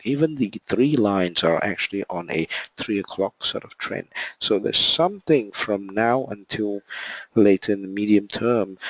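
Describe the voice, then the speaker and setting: Deep-voiced guy with a sore throat walking through trading charts, lots of okay and uh. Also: creaky, fairly bright tone, poor audio. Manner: explains step by step, fills with okay and uh. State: sore throat, voice not clear or loud. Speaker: trading analyst presenting a live webinar. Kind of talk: live market analysis walkthrough.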